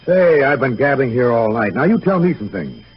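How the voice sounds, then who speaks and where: An actor playing a young dead soldier son in a 1930s radio drama, breezy and gentle, speaking in a boyish, coaxing, informal way. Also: male voice, mid-range voice, big pitch swings, normal speed, close to an everyday voice. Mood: chatty. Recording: muffled, noisy 1938 radio broadcast.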